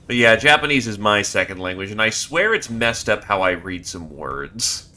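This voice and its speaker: A man streaming a horror game live, reading on-screen text aloud and breaking into loud, excited outbursts. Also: fairly low pitch, normal speed. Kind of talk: live gameplay commentary.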